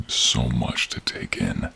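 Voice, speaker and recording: A man playing a gentle, protective male dragon in human form, speaking tenderly and haltingly in a deep, gravelly, husky voice for an audio role-play. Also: full character voice. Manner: tender, halting, hushed, drawn-out phrasing. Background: rain and cave ambience.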